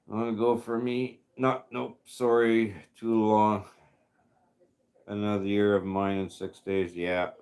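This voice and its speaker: A man in his fifties hosting a casual livestream chat, rambling and halting with many fillers as he answers viewers. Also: fairly low pitch, level tone, darkish tone. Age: 50s. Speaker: livestream host reading chat.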